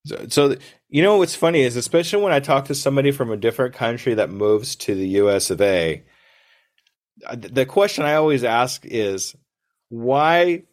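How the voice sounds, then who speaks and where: A man's voice: mid-range voice, lively intonation, normal speed, no room sound. Chatty male podcast host, dry sound. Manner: chatty, rambling, restarts and laughs. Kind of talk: casual interview chat.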